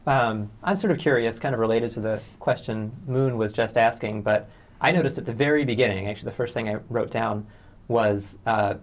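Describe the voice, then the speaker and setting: A man's voice: medium pitch, a little fast. A man hosting a studio interview, asking questions in a hesitant, roundabout way. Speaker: co-host interviewer.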